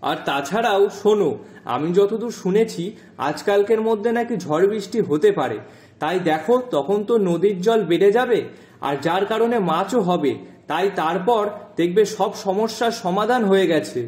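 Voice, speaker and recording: A man playing a gentle, fatherly middle-aged village fisherman in a cartoon moral story, talking in a soft, reassuring way. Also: high voice, lively intonation, normal speed. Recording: roomy echo, music underneath.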